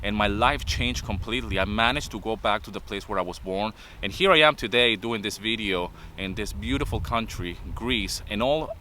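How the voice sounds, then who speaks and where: A man's voice: lowish voice, normal speed, few pauses, sharp tone, slight background noise. A man in his fifties with a Venezuelan accent, giving a motivational talk to camera in an earnest tone. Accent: Venezuelan Spanish. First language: Spanish. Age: 50s. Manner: earnest, repeats points with "okay?". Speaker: vlogger.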